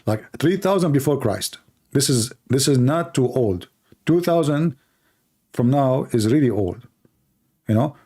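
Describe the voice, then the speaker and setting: A man with a mellow, warm voice holding forth as a call-in show host. He rambles through a sarcastic comic monologue, piling on mock-serious claims and fillers as he goes. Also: mid-range voice, breathy edge. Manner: mocking, rambling and ironic, with many fillers.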